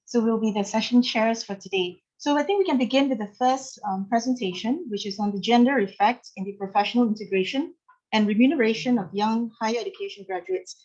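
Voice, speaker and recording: A woman's voice: fairly high pitch, a little fast, darkish tone, dull audio. A woman with a Singaporean accent introducing a conference session as its chair.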